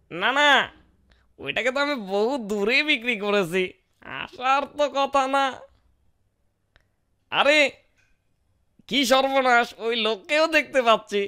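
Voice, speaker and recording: Sly, animated cartoon thief, bright voice. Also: male voice, very high-pitched, smooth, heavily acted. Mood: alarmed. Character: sly, greedy male servant and petty thief. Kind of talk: exaggerated comic cartoon dubbing.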